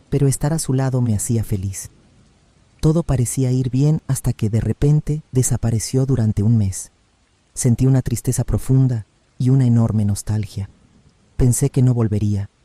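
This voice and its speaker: A man narrating a first-person true story for a horror channel in a calm, measured reading voice. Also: mid-range voice, no echo.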